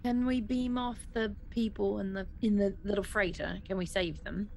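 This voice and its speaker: A woman's voice: medium pitch, fairly even intonation, moderate pace, darkish tone. A woman chatting casually and informally as a player in a tabletop role-playing game. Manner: informal, conversational. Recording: clear background noise.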